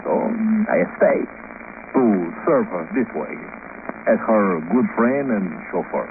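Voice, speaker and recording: Jolly fat ex-athlete, halting English, slow pace, swooping intonation, tinny vintage radio drama. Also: male voice, lowish voice, very animated. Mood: amused, rueful. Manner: chuckling, unhurried, halting non-native English. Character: fat, cheerful South American ex-tennis champion turned chauffeur. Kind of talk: 1950s radio detective acting. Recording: noisy, tinny old radio recording.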